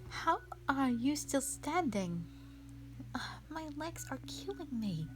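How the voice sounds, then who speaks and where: A woman's voice: sits high, very animated, slow pace, a little hiss or hum, voice pushed up, exaggerated voice. A woman voicing a playful, teasing young female soldier, a bubbly and informal best friend in an audio drama. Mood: whiny. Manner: playful, informal, whiny when tired. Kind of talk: dramatic audio roleplay acting.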